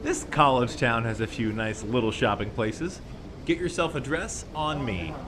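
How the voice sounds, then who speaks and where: A man's voice: medium pitch, moderate pace, noisy background, close to an everyday voice. A man playing a jovial, generous academic in an audio drama, gushing and theatrical in manner. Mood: effusive, generous. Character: jovial, effusive, generous male academic doctor.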